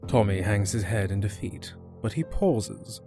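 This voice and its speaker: A man's voice: lowish voice, expressive, unhurried. A man narrating a scripted fantasy audio drama in the present tense, as a storyteller. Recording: music under the voice.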